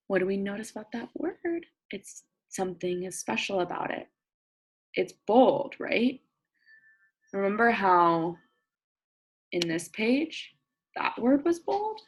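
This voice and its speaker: A woman reading a nonfiction book aloud as a teacher of young children, expressive and deliberate, often prompting listeners with questions and thinking out loud in simple explanations. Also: mid-range voice, slightly rough, poor audio.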